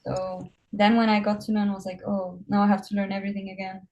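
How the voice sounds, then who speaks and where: A woman chatting casually as a guest athlete, telling stories in an animated way and acting out what people said. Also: mid-range voice, quick pace, highs cut off. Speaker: bodybuilding competitor, guest. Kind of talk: casual chat.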